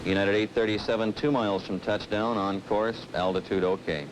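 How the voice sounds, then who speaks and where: A man playing a steady radar approach controller, giving precise instructions in formal radio phrases. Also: lowish voice, normal speed, natural voice. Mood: steady, focused. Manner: methodical, even, precise. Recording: noisy old film soundtrack.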